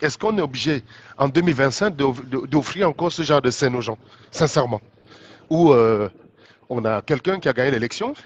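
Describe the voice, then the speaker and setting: A man's voice: on the high side, muffled recording. Indignant man with a head cold. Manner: indignant, rhetorical, many restarts. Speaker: political commentator. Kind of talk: casual monologue to followers.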